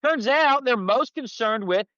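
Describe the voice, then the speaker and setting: A man's voice: very high-pitched, expressive, measured pace, bright, forceful tone, dry recording. An American man from Philadelphia talking casually as a guest, brash, sarcastic and salty, on a slightly dull microphone. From Philadelphia, United States. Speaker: guest, publicist and commentator. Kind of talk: casual talk, political commentary.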